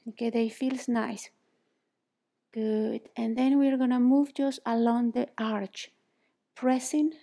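A woman from Mexico with a Mexican Spanish accent, in her thirties, guiding a yoga exercise in a bright indoor studio, soothing and encouraging, often dropping to a near whisper. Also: a little high, gravelly. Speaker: yoga teacher. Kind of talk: guided exercise instruction.

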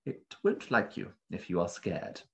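Shifty storybook gentleman, played by a man, nervous and evasive, polite but hesitant, with swinging pitch. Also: big pitch swings, measured pace, vocal fry, highs cut off, heavily acted. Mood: uneasy, hesitant. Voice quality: high and shaky when nervous. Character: terrible, shifty, nervous wealthy male bird buyer.

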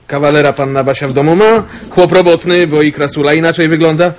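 Man acting chatty country milk carter, higher voice, folksy teasing, radio drama, noise behind. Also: fast talker, close to an everyday voice. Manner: folksy, bantering.

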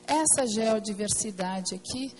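A woman with a hoarse, partly lost voice, giving a hesitant technical presentation at a council meeting, full of fillers and restarts. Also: medium pitch, unhurried, darkish tone, low-quality recording. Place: meeting room at a plenary session.

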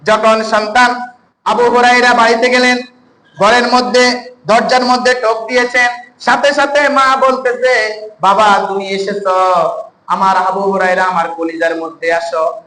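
A man's voice: high-pitched, fairly even intonation, unhurried, bright, forceful tone. A man preaching an Islamic sermon to a congregation, exhorting them and repeating his phrases for emphasis. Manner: exhortatory, repeats phrases, prompts listeners to respond. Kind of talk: sermon to a congregation, with religious stories. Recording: echoey room, faint background noise.

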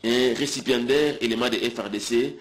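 A man's voice: medium pitch, normal speed, rough recording. Man narrating news report.

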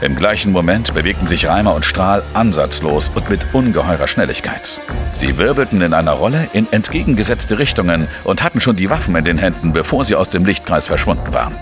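An actor playing a world-weary investigative journalist in a crime radio drama, speaking in a measured, sombre, terse way. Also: male voice, low voice, lively intonation. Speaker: narrator and lead actor. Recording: music behind the voice.

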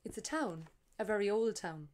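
A woman's voice: mid-range voice, expressive, normal speed, darkish tone, lightly acted. A woman reading aloud voices polite German tourists with a German accent and a careful, courteous manner.

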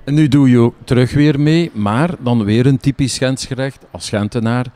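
A Flemish man interviews guests as a local TV reporter. His voice is soft-edged and dark, of average pitch, and he speaks conversationally into a handheld microphone. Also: moderate pace. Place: indoors.

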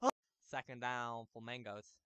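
A young man calling plays in an online football game, shouting touchdowns and then laying down the rules to the players. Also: medium pitch, fairly even intonation, unhurried, clear, resonant tone, dry recording. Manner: hype announcer yelling touchdowns, then bossy about rules. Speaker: game commentator and league host. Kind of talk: excited play-by-play commentary.

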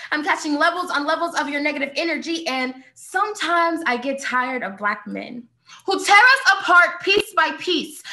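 High, bright young American woman performing slam poetry. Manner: emphatic, declamatory delivery with repeated refrains. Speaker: spoken-word poet.

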